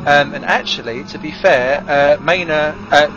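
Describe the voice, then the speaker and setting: A man giving chatty, joking play-by-play commentary on a sim race. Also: on the high side. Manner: chatty, jokey, fast play-by-play. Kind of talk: sim racing live commentary. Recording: muffled stream audio with music behind.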